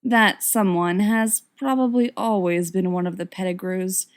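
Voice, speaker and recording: A woman's voice: medium pitch, steady tone, unhurried. A woman narrating a written story expressively, with wry, dramatic emphasis. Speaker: audiobook reader of fan fiction.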